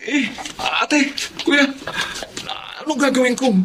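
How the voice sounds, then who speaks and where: Casting: sheepish teen Filipino brother, high strained-up pitch, radio drama, noisy recording. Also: male voice, lively intonation, slow pace, full character voice. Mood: panicked. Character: anxious, sheepish nineteen-year-old younger brother.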